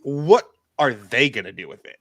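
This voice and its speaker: A man's voice: medium pitch, big pitch swings, normal speed, a bit bright, dry recording. A man co-hosting a casual music talk show, rambling and joking with lots of fillers. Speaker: podcast co-host. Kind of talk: casual chat.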